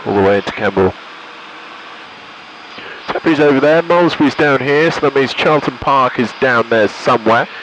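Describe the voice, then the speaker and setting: A man's voice: medium pitch, normal speed, pauses often, dull audio, background noise. A British man giving a casual commentary from a light aircraft cockpit, thinking aloud and making radio calls into a headset. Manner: thinks aloud while concentrating. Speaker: pilot and vlogger.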